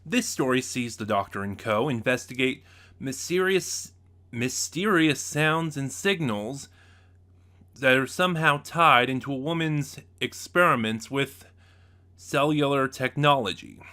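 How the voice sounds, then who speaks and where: A man giving a casual, unscripted review as a reviewer, speaking haltingly and often losing his thread. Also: mid-range voice, animated, pushed and bright. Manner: halting, loses his train of thought.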